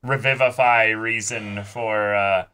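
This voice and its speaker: A man hosting a reaction show, chatting casually and haltingly, repeating phrases and swearing freely. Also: on the low side, fairly even intonation, pushed and bright, clear, resonant tone.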